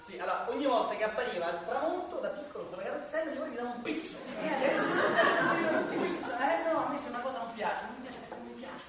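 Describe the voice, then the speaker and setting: An actress playing a stubborn, argumentative character, emphatic and contrary, in a radio comedy drama. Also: female voice, sits high, expressive, fast talker, hardly any pauses. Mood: annoyed. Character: stubborn, argumentative character rejecting others' theories. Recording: echoey distant recording, loud crowd and noise.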